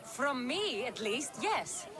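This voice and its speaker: An actress playing a bold, determined ancient mercenary in a video game, speaking directly. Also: female voice, high voice, sing-song, slow, crowd noise, exaggerated voice. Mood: feigned naivety. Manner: direct, resolute.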